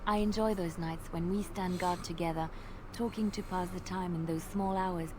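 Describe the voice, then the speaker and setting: A woman playing a gentle, bashful bard adventurer in a fantasy video game, earnest, hesitant and playful. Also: fairly low pitch, steady tone, moderate pace, lightly acted. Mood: tender, reflective. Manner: soft-hearted, hesitant, earnest. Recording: clearly noisy game playback.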